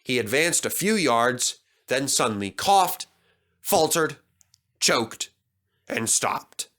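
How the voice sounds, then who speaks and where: A man reading a children's story aloud as a storyteller, in an animated narrating style. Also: medium pitch, takes it slowly.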